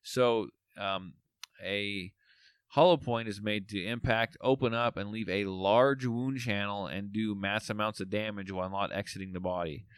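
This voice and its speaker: Tired podcast guy, voice getting raspy, low and smooth, rambles with ums. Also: fairly even intonation, moderate pace, no echo. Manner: laid-back, rambling, lots of fillers. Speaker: podcast co-host. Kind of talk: casual podcast chat.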